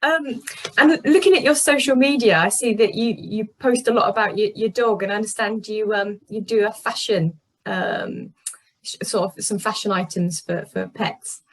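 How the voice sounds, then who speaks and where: A woman's voice: mid-range voice, moderate pace, breathy edge, poor audio. A British woman conducting a casual interview, putting questions in a tentative, conversational way. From Cornwall, England.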